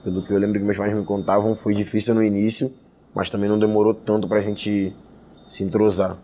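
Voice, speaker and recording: A man's voice: on the low side, level tone, brisk, dark tone, slight background noise. A young man with a Carioca Brazilian accent, telling personal stories casually and colloquially in an interview. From Rio de Janeiro, of Angolan family. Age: young adult. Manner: colloquial, slangy. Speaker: hip hop musician interviewee.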